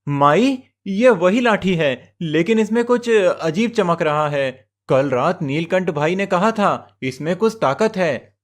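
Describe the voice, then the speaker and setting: A man's voice: high-pitched, lively intonation, takes it slowly, hardly any pauses. A man voicing a simple young goatherd. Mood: earnest. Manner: earnest, easily amazed. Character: poor young male goatherd, simple and earnest. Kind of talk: cartoon storybook voice acting.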